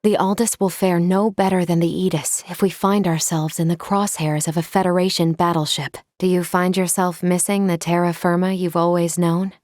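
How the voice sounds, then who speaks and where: An actress voicing a composed, polite female android in a video game, speaking in formal, measured, precise phrases. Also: lowish voice, flat delivery, a little mellow, dry recording, clean studio sound, lightly acted. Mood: serious, measured. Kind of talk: scripted video game character lines.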